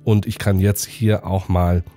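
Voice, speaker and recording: Middle-aged male host, hoarse and nasal from a cold, lively at a desk, lowish dark tone. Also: unhurried. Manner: lively, animated presenting. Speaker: astrophotography channel host. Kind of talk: casual presenting, sky preview and prize draw. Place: home study indoors.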